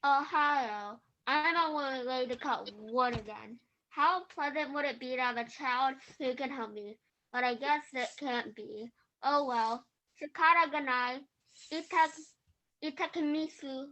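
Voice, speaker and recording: A woman acting out a poor old woodcutter from a folktale, weary and wistful. The delivery is slow and halting, a little lower than the reader's usual voice but still light and bright. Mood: wistful, resigned. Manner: halting, careful reading. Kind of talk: amateur dramatic reading of a folktale.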